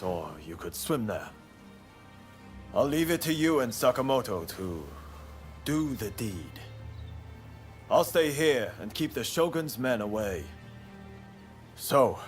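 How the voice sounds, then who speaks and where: An actor playing a composed samurai rebel in a video game, speaking in a measured, businesslike way as he plots. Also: on the low side, animated, soft background music. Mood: calm, conspiratorial. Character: composed male samurai rebel plotting an assassination. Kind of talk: video game cutscene acting.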